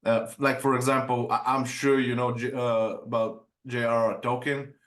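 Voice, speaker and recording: A man's voice: mid-range voice, flat delivery, moderate pace, fairly bright tone. A man from Bulgaria hosting a podcast interview on a video call, casual and rambling, with frequent stumbling restarts. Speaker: podcast host. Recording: echoey room.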